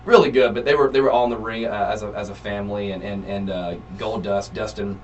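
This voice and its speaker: A man co-hosting a wrestling podcast, chatting casually and jumping in with excitement. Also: fairly low pitch, fast talker, dull audio. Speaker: podcast co-host. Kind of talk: casual chat. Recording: distant mic with faint room noise.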